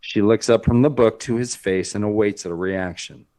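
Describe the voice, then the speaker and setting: A man's voice: lowish voice, normal speed, darkish tone. A man narrating stage directions as scripted narration in a screenplay table read.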